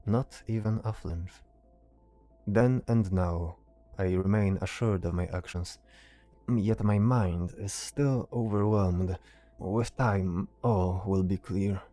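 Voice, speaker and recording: A man voicing a stoic, cryptic mage in a fantasy audio drama, calm and assured, with formal, deliberate phrasing. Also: low-pitched, faint music behind.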